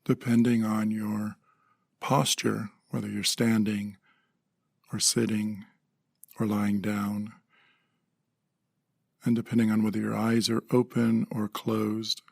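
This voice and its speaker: Calm guy doing guided meditation, pretty flat delivery, husky voice, really dry close sound. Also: fairly low pitch, no room sound. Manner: calm, gentle, measured phrasing with pauses.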